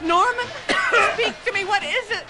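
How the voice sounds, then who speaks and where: An actress playing an affectionate, devoted middle-aged wife in a radio drama. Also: female voice, extremely high pitch, moderate pace. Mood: alarmed. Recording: music under voice.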